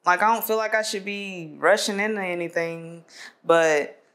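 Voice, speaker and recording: A woman's voice: lowish voice, moderate pace, fairly bright tone. A woman in her twenties chatting candidly as a guest, laughing often and using lots of fillers, recorded on a lapel mic. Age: 20s. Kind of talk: casual conversation.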